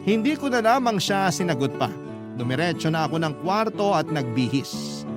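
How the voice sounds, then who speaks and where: A man's voice: a little high, very animated, moderate pace. A man narrating a dramatic first-person love story in Tagalog as a radio storyteller. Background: guitar music.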